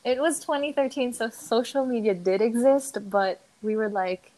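A young woman from the Philippines with an American-sounding accent, co-hosting a casual podcast, chatty and quick to laugh. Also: fairly high pitch, poor audio. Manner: chatty, laughs often, trails off into quiet asides. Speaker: podcast co-host. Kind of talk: casual chat.

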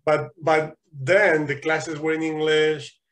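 A man from Spain, telling lively stories as a guest in a casual interview. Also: on the high side, takes it slowly. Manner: animated storytelling, frequent restarts and laughs.

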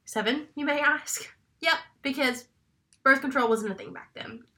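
A woman's voice: high-pitched, moderate pace, bright, forceful tone. A young woman from North Carolina with a stuffy head cold, chatting casually and rambling as co-host of a true crime podcast. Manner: chatty, rambling, self-deprecating.

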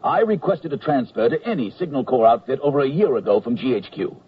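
Male actor as disgruntled captain, brisk complaining manner, radio comedy, dull slightly noisy recording. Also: mid-range voice, lively intonation, close to an everyday voice. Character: disgruntled army captain stuck doing paperwork. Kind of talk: 1970s radio comedy acting.

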